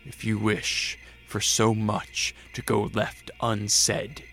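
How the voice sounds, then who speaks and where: Brooding male vampire storyteller: gravelly, measured, grave, over a prominent music bed. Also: fairly low pitch, completely flat delivery, a bit bright, exaggerated voice. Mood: grave, guarded. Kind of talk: scripted radio drama narration and dialogue.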